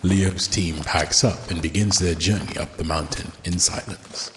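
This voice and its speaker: A man's voice: low voice, steady tone, unhurried. A man narrating a scripted audio drama as its storyteller. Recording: long reverb, noisy ambience behind.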